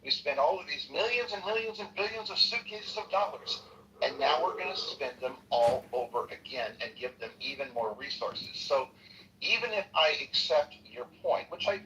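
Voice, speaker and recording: A man debating politely in a political call-in discussion, hedging his points and measured. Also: a little high, lively intonation, pushed and bright, reverberant, distant mic, a little hiss or hum, low-quality recording.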